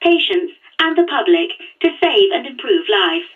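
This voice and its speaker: A woman's voice: a little high, big pitch swings. A woman playing a polished, impersonal automated hotline announcer, courteous and formulaic, in an audio drama. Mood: polite, impersonal. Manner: courteous, formulaic, measured phrasing. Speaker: recorded phone menu voice. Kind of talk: scripted corporate announcement. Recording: dull, distant phone-line playback.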